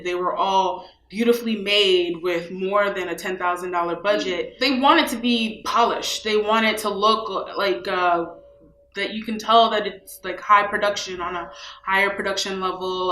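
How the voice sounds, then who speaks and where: A woman's voice: medium pitch, sharp tone, echoey room, rough recording. A young woman from New York, a film festival co-founder, speaking in a casual interview with many fillers. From New York, USA. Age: young adult.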